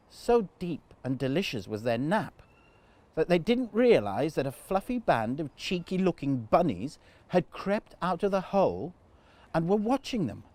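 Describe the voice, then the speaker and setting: A man telling a children's story in an animated storytime narration style. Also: a little high, no room sound.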